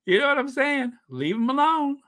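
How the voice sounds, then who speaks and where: A man hosting a casual livestream, chatting with viewers and reading their comments aloud, animated and quick to laugh. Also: extremely high pitch, no echo, clean studio sound. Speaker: livestream host.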